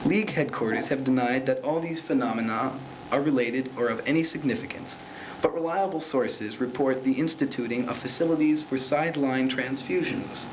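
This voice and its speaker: A man's voice: mid-range voice, normal speed, close to an everyday voice. A man playing a hapless field sports correspondent in a parody newscast, with a formal reporter delivery and occasional stumbles. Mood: earnest, grave. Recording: noisy amateur 1970s recording.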